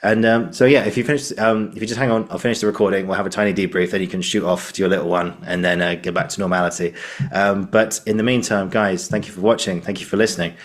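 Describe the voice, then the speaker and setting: A man's voice: low voice, steady tone, fast talker. A man hosting a conversational interview, effusive and given to repeating himself. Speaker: podcast host.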